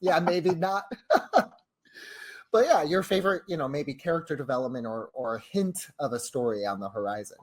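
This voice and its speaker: A man's voice: fairly high pitch, normal speed. A man co-hosting a casual podcast conversation, effusive and courteous as he puts questions to colleagues.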